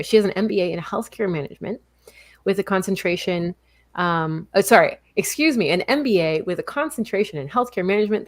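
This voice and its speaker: A woman hosting a live online talk show, earnest and explanatory, introducing her co-host and guest over a video call. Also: on the low side. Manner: earnest, explanatory, with some fillers and self-corrections.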